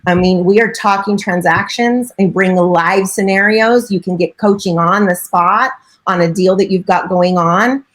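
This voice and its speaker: A woman's voice: medium pitch, measured pace, fairly bright tone. A middle-aged woman, a real estate broker and coach, giving chatty, earnest interview answers with frequent filler phrases.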